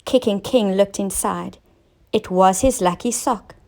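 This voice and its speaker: Woman, teacher storyteller, slow pace, mid pitch, expressive, carefully enunciated, children's narration. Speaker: teacher reading stories to children.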